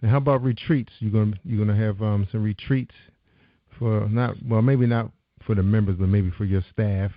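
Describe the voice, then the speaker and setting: Male host, deep gravelly tone, chatty rambling style, lo-fi dry sound. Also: fairly even intonation, normal speed, no echo. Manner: chatty and loose, rambling questions with restarts. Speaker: interview host. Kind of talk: casual interview talk.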